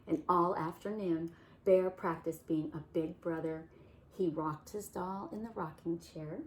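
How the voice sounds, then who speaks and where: A woman reading a children's picture book aloud in a soft-spoken, unhurried way. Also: on the low side, fairly even intonation, slight background noise, poor audio. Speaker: children's storyteller.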